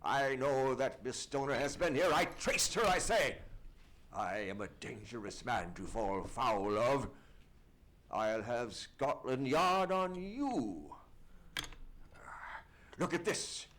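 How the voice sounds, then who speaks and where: An actor playing a bullying, threatening middle-aged doctor in an old-time radio play, theatrical and emphatic. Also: male voice, medium pitch, animated, takes it slowly, a bit bright. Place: live on a theatre stage.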